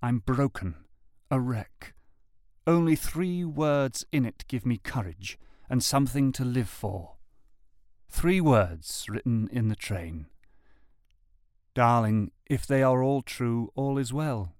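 Man acting out anguished letter-writing lover, medium pitch, heartfelt literary delivery, dramatic reading, dry sound. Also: animated, slow, a little mellow, no room sound. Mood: anguished, longing.

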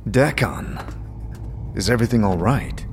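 Man as gravelly, hesitant, wry adventurer. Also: lowish voice, a little slow, big-room sound, a little hiss or hum. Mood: concerned. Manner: hesitant, plain-spoken, wry. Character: weary, wry amnesiac male adventurer, protective of companions. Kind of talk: audio drama acting.

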